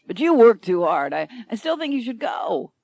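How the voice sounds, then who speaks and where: A woman's voice: on the high side, big pitch swings, normal speed, darkish tone, dry recording, pitched above the performer's own voice. A woman voicing a friendly male security guard with an easygoing, teasing manner.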